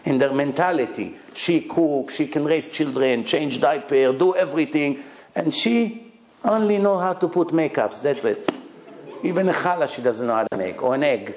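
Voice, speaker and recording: A man's voice: on the high side, expressive, normal speed, echoey room, a crowd in the background. A man with an Israeli accent, Hebrew his first language, giving a blunt, emphatic religious lecture in short punchy phrases and rhetorical questions. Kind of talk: lively religious lecture to an audience.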